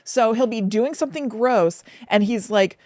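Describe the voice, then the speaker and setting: A woman's voice: fairly high pitch, normal speed, darkish tone, no echo, clean studio sound. A woman co-hosting a podcast, casually discussing film and thinking aloud as she qualifies her opinions. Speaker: podcast co-host.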